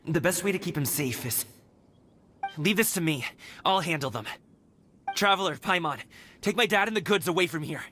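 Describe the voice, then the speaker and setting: A man's voice: on the high side, lively intonation, normal speed, pauses often, pushed and bright, slight background noise. A man playing an earnest, dutiful young escort guard and fighter in a video game. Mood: determined.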